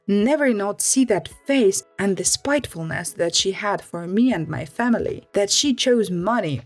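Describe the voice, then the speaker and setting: A woman narrating stories in an expressive read-aloud storytelling style. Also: medium pitch.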